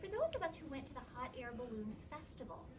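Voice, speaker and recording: A woman with a fairly high voice reads aloud to a class of young children as their teacher. She explains in an instructive way and often checks understanding with questions. The recording is distant, with clear classroom noise around her. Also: big pitch swings, fast talker. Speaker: elementary school teacher. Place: classroom, recorded from across the room. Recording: distant mic, noisy classroom background.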